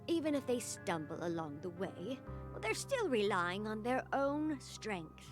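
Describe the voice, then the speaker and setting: A woman voicing a kind old woman who looks after orphaned children, speaking gently and reassuringly. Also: mid-range voice, big pitch swings, unhurried, faint music behind. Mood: gentle. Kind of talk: game voice acting.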